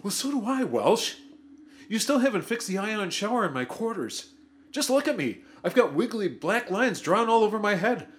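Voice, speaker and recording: A man's voice: sits high, sing-song, moderate pace. An actor playing a greedy, self-important starship crewman in a sci-fi parody audio drama, whiny and melodramatic. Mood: exasperated, demanding.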